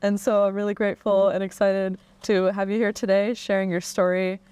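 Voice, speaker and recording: A woman's voice: medium pitch, flat delivery, a little fast, a little mellow, smooth, no echo. A woman hosting a podcast interview in an upbeat, effusive way. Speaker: podcast host.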